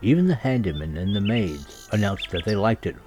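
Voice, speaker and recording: A man's voice: on the low side, lively intonation, brisk. A man narrating a scripted children's story as a storyteller. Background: music and birdsong sound effects.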